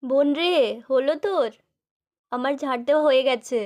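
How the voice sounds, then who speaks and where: A woman's voice: high-pitched, moderate pace, a little mellow, natural voice. A woman voicing a caring, bossy elder sister doll in a homely, chatty storytelling style. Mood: brisk, homely. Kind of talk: doll-play storytelling dialogue.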